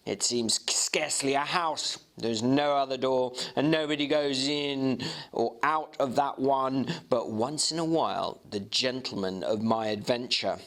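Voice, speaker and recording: A man voicing an earnest young gentleman in a Victorian storybook, emphatic and halting as he searches for words. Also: medium pitch. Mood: bewildered, emphatic. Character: earnest young English gentleman, bewildered, slightly sullen, recounting strange sights. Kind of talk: dramatic reading of a Victorian novel.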